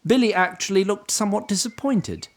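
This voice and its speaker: A man's voice: high-pitched, a little fast. A man narrating a scripted fantasy audio drama in storybook style.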